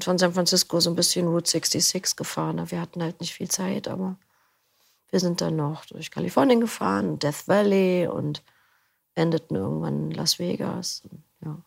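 Middle-aged woman, low and gravelly, loose rambling interview chat. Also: moderate pace. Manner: relaxed, rambling, laughs easily. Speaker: interview guest, audiobook narrator.

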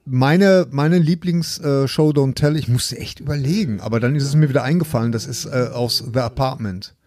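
A man co-hosting a casual film chat, halting and often repeating his words and phrases. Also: mid-range voice, darkish tone, dry recording. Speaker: podcast co-host. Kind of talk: casual chat, anecdotes about films.

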